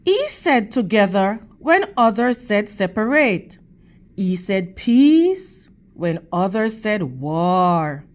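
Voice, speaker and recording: A woman reading a picture book aloud to children in a teacherly manner, with deliberate, emphatic phrasing and questions for her young listeners. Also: medium pitch, very animated. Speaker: read-aloud storytime host for children.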